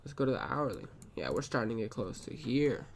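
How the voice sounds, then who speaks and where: Sniffly man, casual profane trade recap, mid-pitched, keyboard clicks behind. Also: very animated, fairly quick, vocal fry, slight background noise. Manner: thinks aloud, profane, mutters to himself. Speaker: day trader reviewing his trades.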